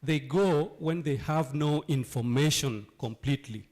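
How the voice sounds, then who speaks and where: A hoarse man from Uganda, sick with a cold, speaking emphatically at a town hall meeting and asking rhetorical questions. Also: on the high side, measured pace, darkish tone. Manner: emphatic, rhetorical questions, repeats key phrases. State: hoarse from flu and cough. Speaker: local leader addressing a town hall.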